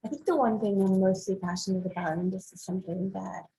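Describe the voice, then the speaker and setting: A woman's voice: medium pitch, fairly even intonation, fast, gravelly, mellow, low-quality recording. A young woman from Morocco, a podcast guest, answering thoughtfully and measuredly with frequent ums. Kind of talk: casual interview talk.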